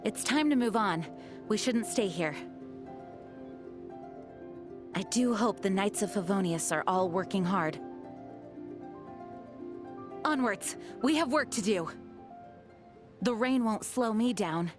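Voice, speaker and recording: A woman's voice: medium pitch, expressive, a little slow, long pauses, over music, natural voice. A woman playing a dutiful, earnest young knight in a video game, speaking formally and with composure. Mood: resolute, composed. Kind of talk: video game character voice lines.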